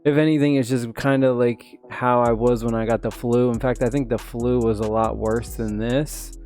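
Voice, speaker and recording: A man's voice: mid-range voice, fairly even intonation, moderate pace, a little mellow, soft background music. A man hosting a mobile gaming channel, still sick and losing his voice a little, rambling casually with lots of fillers. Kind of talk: casual commentary on a mobile game update.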